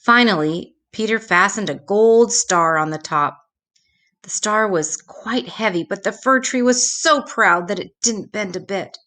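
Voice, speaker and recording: A woman reading a children's storybook aloud expressively, with dramatic pauses. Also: medium pitch, pushed and bright.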